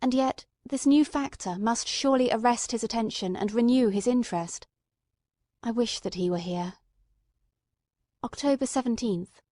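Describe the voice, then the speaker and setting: A woman's voice: on the high side, normal speed, warm tone, no room sound. Woman narrating a classic novel, dry sound. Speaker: audiobook narrator. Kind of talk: scripted literary narration.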